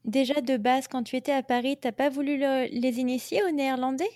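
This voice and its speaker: A woman interviewer with a fairly high voice asks relaxed, friendly questions. She chimes in often with short agreements. The sound is dry and close. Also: fairly quick, dry recording. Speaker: podcast host, interviewer. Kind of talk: casual conversational interview.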